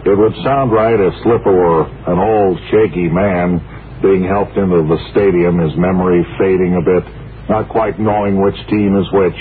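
An actor playing an earnest radio sportscaster and game host in a 1950s radio comedy, in a measured, deadpan announcer delivery. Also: male voice, low voice, close to an everyday voice. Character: smooth, overly earnest male sportscaster. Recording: tinny, faintly hissy 1950s radio broadcast.

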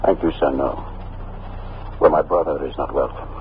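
Very deep old prophetic preacher. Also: male voice. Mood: calm, dignified. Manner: oratorical, measured, prophetic. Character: gentle, fervent old hermit preacher with biblical speech. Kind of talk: 1950s radio drama acting. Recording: dull, noisy old radio recording.

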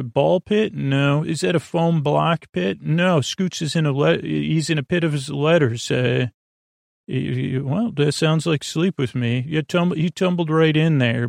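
A man hosting a sleep podcast with a creaky voice, rambling in a soothing, meandering, silly way and fumbling his words as he improvises. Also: on the high side, measured pace, dry recording, studio quality. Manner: soothing, lulling. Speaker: podcast host.

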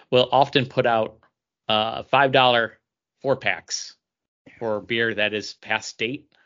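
A man co-hosting a podcast in casual chat, speaking in a hesitant, halting way. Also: mid-range voice, a bit bright, dull audio. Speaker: podcast co-host. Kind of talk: casual chat, beer review.

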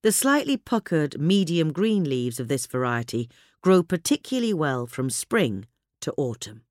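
A woman reading a gardening magazine article aloud in a measured, clear way. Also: low-pitched, sing-song, a little mellow, no room sound, studio quality. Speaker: reader. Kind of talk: scripted narration of a gardening article.

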